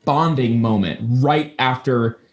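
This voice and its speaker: A man's voice: mid-range voice, slow. A young man hosting a podcast with casual, profane banter and rambling anecdotes, in a very echoey room. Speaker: podcast host.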